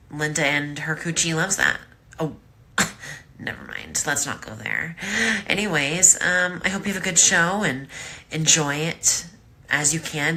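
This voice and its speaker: A woman recording a crude, flirty video shout-out to a show's host. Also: low voice, vocal fry.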